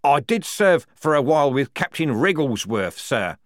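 A man's voice: mid-range voice, animated, normal speed, fairly bright tone, no echo, studio quality, voice pushed up, close to an everyday voice. A man voicing a shifty, snarling army captain in an audiobook, defensive and evasive in his speech. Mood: flustered, evasive. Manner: hesitant, evasive. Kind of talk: audiobook character dialogue.

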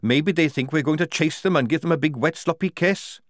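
A man's voice: a little high, fairly quick, no echo, studio quality, voice pushed up, lightly acted. A man doing a sarcastic tough young woman soldier. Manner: blunt and sarcastic.

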